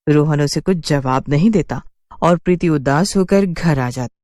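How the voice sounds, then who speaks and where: Female narrator for animated horror tales: slightly low voice, animated intonation, dramatic scripted read, dry studio-like sound. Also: normal speed, no room sound. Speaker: story narrator.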